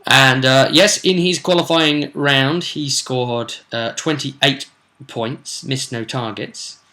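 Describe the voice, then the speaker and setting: Bright-voiced man, chatty game recap. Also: medium pitch, slow. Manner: chatty, rambling, explanatory. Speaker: game master recapping his tabletop campaign. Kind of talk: casual vlog recap of a role-playing session.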